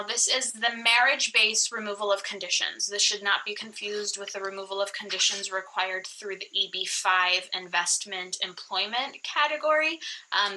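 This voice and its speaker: Attorney host for an online webinar: woman, young adult, very bright, sharp voice, chatty with lots of fillers, over a computer mic. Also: mid-range voice, poor audio. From born in Iraq, raised in the US. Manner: chatty, frequent "um" fillers and restarts. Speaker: immigration attorney presenting a webinar. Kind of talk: presenting a webinar.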